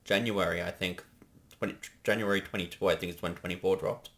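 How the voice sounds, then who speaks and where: A man's voice: low-pitched, fairly even intonation, fairly quick, a bit bright. A man co-hosting a casual, conversational reaction chat. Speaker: co-host.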